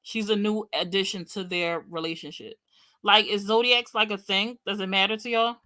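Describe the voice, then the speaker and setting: Bright-voiced woman quizzing the chat. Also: medium pitch, fairly even intonation, normal speed. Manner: inquisitive, poses questions to the chat. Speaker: livestream co-host reading comments. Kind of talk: casual live-stream chat.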